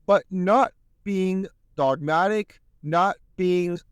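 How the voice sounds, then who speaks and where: A man talks alone into the mic in an earnest, sermon-like way, his slightly high voice starting to wear out and go hoarse. The sound is dry and close, with no room around it. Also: very slow, no room sound. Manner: earnest, preachy, repeats "my friends". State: losing his voice. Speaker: podcast host. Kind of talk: solo podcast monologue.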